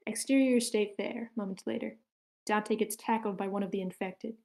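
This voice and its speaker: A woman reads stage directions at a screenplay table read. Her mid-pitched voice stays fairly flat, moving matter-of-factly through short scripted sentences. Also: moderate pace, gravelly. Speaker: narrator reading stage directions.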